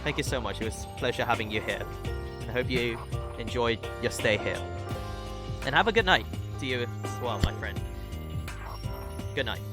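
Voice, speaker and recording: Chatty male streamer over soft music. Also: mid-range voice, normal speed, long pauses. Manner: chatty, thanks viewers, jokes, laughs at himself. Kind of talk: live-stream gaming chat and reading aloud.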